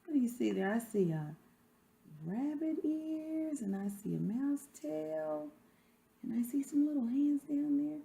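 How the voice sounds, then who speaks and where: A woman's voice: high-pitched, animated, measured pace, creaky, mellow. A woman teacher chatting to children during a read-aloud, often putting questions to her listeners. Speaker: sixth grade teacher reading aloud.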